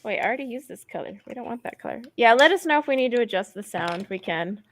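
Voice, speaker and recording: A woman hosting a live coloring stream from home, chatting casually and softly through a headset mic, laughing often. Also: fairly high pitch, lively intonation, fast talker.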